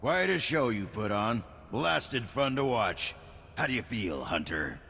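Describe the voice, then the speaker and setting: A booming, authoritative tournament master in a video game. His voice is sharp and gravelly, with a theatrical delivery. Long reverb surrounds him. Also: very animated, measured pace, faint music behind, heavily acted. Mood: amused. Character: commanding male arena master of a bounty hunters' tournament.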